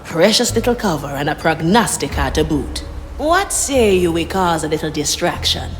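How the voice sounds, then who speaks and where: Game role: bold pirate navigator woman, slightly low, dry and sardonic, long reverb over music. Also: big pitch swings, moderate pace. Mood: wry, scheming. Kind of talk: video game voice acting.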